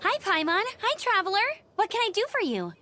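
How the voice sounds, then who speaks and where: A woman playing a perky, eager young scout in a video game, speaking briskly and helpfully. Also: very high-pitched, huge pitch swings, bright, forceful tone, slight background noise, exaggerated voice. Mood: cheerful. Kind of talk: video game character acting.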